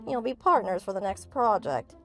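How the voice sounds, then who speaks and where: A woman's voice: mid-range voice, sing-song, moderate pace, fairly bright tone, faint music behind. A woman voicing a stern, sarcastic female school teacher, formal and dry, in a comic dub.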